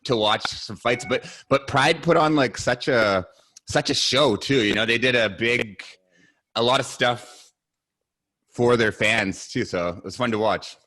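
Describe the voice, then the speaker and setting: A man's voice: mid-range voice, a little slow, pushed and bright. A man with a Canadian accent hosting a podcast and interviewing a guest in a casual, conversational way.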